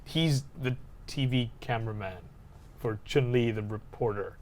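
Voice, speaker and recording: A man co-hosting a podcast, discussing films casually and telling anecdotes in an informal, animated way. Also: mid-range voice, unhurried. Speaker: podcast co-host.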